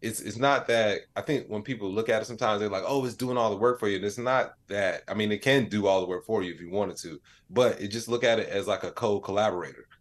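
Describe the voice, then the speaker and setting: A man's voice: on the low side, fast talker, hardly any pauses. An American man chatting casually as a podcast interview guest, telling stories and voicing dialogue, with lots of "like" and "you know". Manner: animated storyteller, acts out quoted dialogue, peppers talk with "like" and "you know". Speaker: interview guest, AI educator and comedian.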